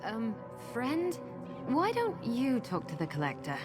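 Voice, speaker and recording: An actress playing a guarded, kind-hearted wanderer in a fantasy video game, with a wry, understated delivery. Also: female voice, on the high side, wildly swooping pitch, a little slow, music in the background. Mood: casual, wry.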